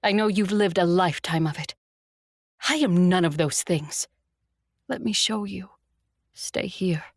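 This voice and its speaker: A woman playing an ancient, proud vampire commander in an audio drama, measured, haughty and coolly mocking. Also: lowish voice, husky, no echo, studio quality. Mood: scornful, pleading.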